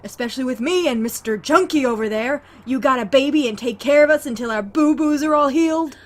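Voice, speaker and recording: A woman's voice: sits high, fairly quick, close to an everyday voice. A woman acting a bitter, sarcastic, combative sister who survives in a zombie apocalypse audio drama. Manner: sarcastic, confrontational, swearing.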